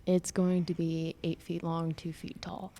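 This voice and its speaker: Young woman student on a podcast, lowish voice, chatty, earnest. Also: monotone, a little slow, warm tone. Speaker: graduating student guest. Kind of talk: casual interview chat.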